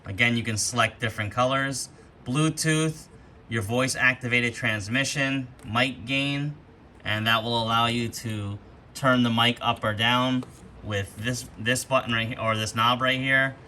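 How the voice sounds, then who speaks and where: Bright, forceful man giving a gear walkthrough. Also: medium pitch, fairly even intonation, a little slow. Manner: explanatory, informal. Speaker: host demonstrating radio gear. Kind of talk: casual hands-on product walkthrough. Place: attic, with rain outside. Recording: background noise, rainy attic ambience.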